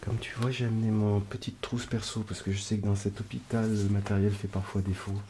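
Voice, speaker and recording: A man playing a grumpy yet soothing specialist doctor in an ASMR roleplay, murmuring in an unhurried, slightly pompous way. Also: on the low side, level tone, warm tone, slight background noise, lightly acted. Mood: clinical, murmuring. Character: grumpy, soothing male specialist doctor called out on Christmas Eve. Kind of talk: French ASMR roleplay, whispered acting.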